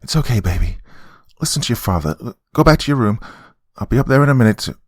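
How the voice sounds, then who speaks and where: A man's voice: mid-range voice, animated, fast, no echo, studio quality, pitched above the performer's own voice, natural voice. A man voicing a worried, soothing mother in a dramatic audio story.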